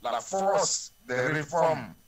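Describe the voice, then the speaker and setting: A man's voice: on the high side, animated, very slow. A man from Benin with a Beninese French accent, speaking as a politician in an interview, earnest and rhetorical, repeating phrases for weight. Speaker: ruling-party politician interviewed by phone. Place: telephone call.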